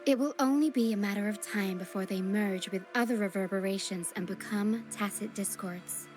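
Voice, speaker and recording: A woman playing a caring, solemn young woman in a video game, speaking in a measured and earnest way. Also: medium pitch, close to an everyday voice. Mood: solemn, explanatory. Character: caring young woman guiding through a ghostly mist, solemn and gentle. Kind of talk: scripted video game acting. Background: game music.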